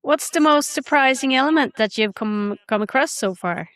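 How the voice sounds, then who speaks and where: A woman's voice: high voice, lively intonation, moderate pace, fairly bright tone, no echo. A woman hosting a podcast, asking questions in a casual, conversational way. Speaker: podcast host. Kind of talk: casual interviewing.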